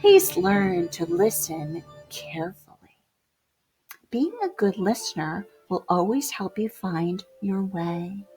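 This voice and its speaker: A woman reading rhyming picture book poems aloud to children in a theatrical way, moving between hushed whispers and loud exclamations. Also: medium pitch, animated, slow pace, creaky, soft background music. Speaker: children's storybook reader.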